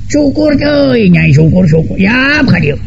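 A male storyteller plays an old village strongman, once fearsome and now humbled. The voice rides high and sounds gentle and repentant. The audio is dull and lo-fi, as in a radio tale. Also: big pitch swings, a little slow, gravelly, noisy background, heavily acted. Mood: earnest, reassuring.

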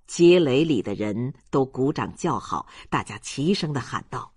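Husky woman narrating, fairly low, expressive. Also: a little slow, no room sound, studio quality. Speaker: audiobook narrator. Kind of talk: scripted novel narration.

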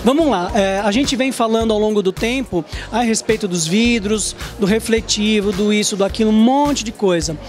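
A man's voice: high-pitched, normal speed, hardly any pauses. Prominent music plays behind a Brazilian man in his thirties. He is a talkative TV host doing an interview on location, speaking informally and with emphasis into a handheld mic. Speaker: TV show host. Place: trade fair stand indoors. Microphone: handheld reporter microphone.

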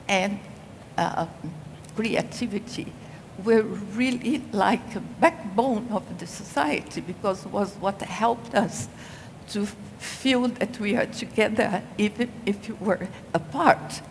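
An older woman speaks at an unhurried pace on a conference stage, leaning on her main points. Her headset mic catches the long reverb of a large hall, with a faint hum of ambience underneath. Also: medium pitch, creaky. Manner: measured, deliberate, emphatic on key points. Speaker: panel speaker. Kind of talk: conference panel talk.

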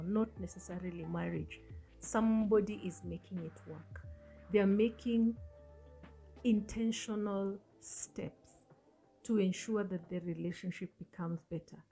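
A woman's voice: mid-range voice, warm tone. A woman in her forties, hosting a motivational talk in an earnest, coaching manner and asking the listener questions. She speaks into a studio mic in a small room, and English is not her first language. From Africa. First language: an African language. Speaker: host. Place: small indoor room, seated on a sofa. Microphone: studio mic on a stand in front of her.

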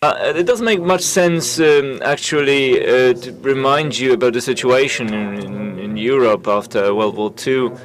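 A man interprets a conference session into the microphone as the talk goes on. He speaks in a halting stream with frequent ums and restarts, and his voice sits at an ordinary middle pitch. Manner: halting, full of filler sounds and restarts. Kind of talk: simultaneous interpretation of conference talks.

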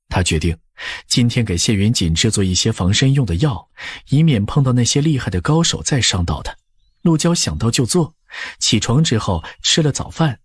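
A man's voice: medium pitch, breathy edge, dry recording. A man narrating a historical novel as a scripted audiobook.

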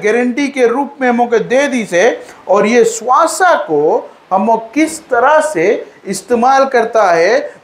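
A man preaching a sermon and leading prayer, exhorting with repeated refrains of praise and slipping English phrases into his speech. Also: sits high. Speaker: preacher leading a church service.

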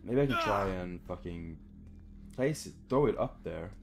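A man's voice: low voice, expressive, a little slow, slight background noise. A young adult man giving casual, muttered video game commentary indoors, frustrated and swearing freely. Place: indoor room at home.

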